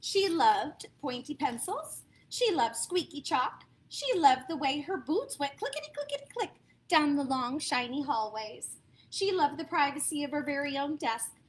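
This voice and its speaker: American lady doing kids storytime, high voice, slow and really expressive. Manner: expressive, dramatic emphasis, asks listeners questions. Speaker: storyteller reading picture books to children. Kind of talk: animated read-aloud storytelling for young children, with asides.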